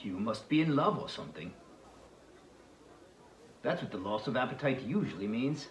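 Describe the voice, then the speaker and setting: A man's voice: medium pitch, big pitch swings, moderate pace, close to an everyday voice. Man acting an ardent suitor, swooping, roomy sound. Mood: earnest, coaxing. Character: ardent, wistful young suitor named John. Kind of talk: dramatized novel reading. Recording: roomy, hissy home recording.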